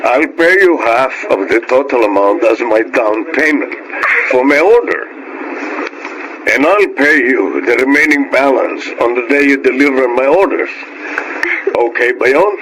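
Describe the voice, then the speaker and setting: A man plays a genial, generous gentleman in a radio drama, speaking slowly and confidently at a medium pitch. The recording is clearly noisy. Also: expressive, natural voice. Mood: friendly, businesslike. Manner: deliberate, confident, switches between languages. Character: genial, generous gentleman customer, businesslike groom-to-be. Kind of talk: Filipino radio drama acting.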